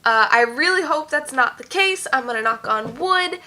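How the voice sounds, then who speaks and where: A young woman chatting at home in a rambling vlog, full of fillers, her voice a little hoarse. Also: high voice, expressive, moderate pace, bright, forceful tone. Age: young adult. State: slightly hoarse, losing her voice. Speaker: YouTube singer. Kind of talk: casual vlog chat.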